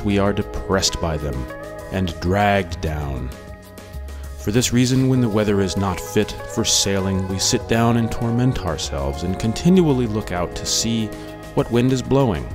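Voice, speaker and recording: A man with a fairly low voice reads philosophy aloud. His delivery is deliberate and measured, in the style of a radio monologue. Music plays clearly behind him. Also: lively intonation. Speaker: audiobook reader. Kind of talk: scripted dramatic reading of philosophy over music.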